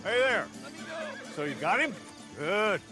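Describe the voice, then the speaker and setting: A man's voice: sits high, sing-song, slow pace, over music, full character voice. An actor playing a gloating, vengeful American frontier man in a Western video game, drawling his words. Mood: smug satisfaction. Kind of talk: video game cutscene acting.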